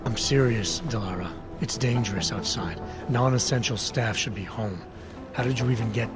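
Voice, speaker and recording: An actor playing a grim, guarded cyborg agent in a video game, speaking in a low, clipped way. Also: male voice, moderate pace, loud music behind the voice, lightly acted. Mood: concerned. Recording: game cutscene with loud score.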